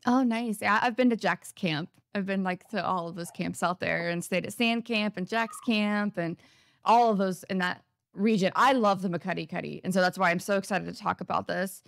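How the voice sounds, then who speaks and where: A woman hosting a podcast interview, upbeat and enthusiastic, asking questions in a casual, quick way. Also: mid-range voice, creaky, no room sound, studio quality. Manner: upbeat, enthusiastic, fast-talking. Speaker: podcast host.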